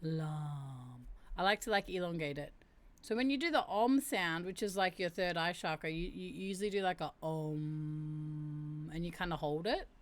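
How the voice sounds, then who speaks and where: A woman with a deep voice hosting a livestream, chatting casually and reading meditation instructions aloud. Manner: chatty, laughs and reacts to chat; slows down to chant drawn-out syllables. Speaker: livestream host. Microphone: streaming mic.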